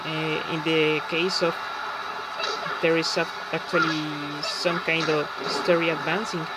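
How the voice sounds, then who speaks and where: A man with a Chilean Spanish accent talks slowly over his gameplay and keeps stopping to search for words. His English is careful, with many fillers along the way. Loud background noise runs under his voice throughout. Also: on the high side, level tone. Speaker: gaming channel host. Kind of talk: casual commentary over gameplay.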